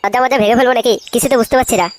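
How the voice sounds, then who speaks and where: A man voicing a brash, foul-mouthed young cartoon layabout, swaggering and slangy, with a pitch-altered voice. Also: fairly even intonation, rapid-fire. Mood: impatient, bickering. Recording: faintly noisy cartoon dub, birdsong behind.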